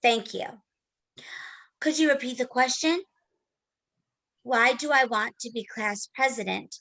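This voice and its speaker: A woman's voice: a little high, a little slow, gravelly, a bit bright, exaggerated voice. A woman playing a literal-minded schoolgirl robot in a play reading, speaking in a formal, stilted way. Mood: earnest, stilted.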